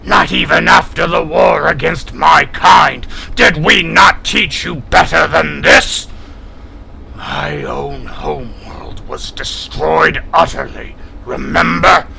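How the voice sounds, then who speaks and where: Gravelly, fierce alien cat warrior. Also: male voice, on the high side, big pitch swings, slow, bright, forceful tone, exaggerated voice. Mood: angry. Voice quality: gravelly, growling. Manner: haughty, declamatory, taunting. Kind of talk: sci-fi audio drama acting.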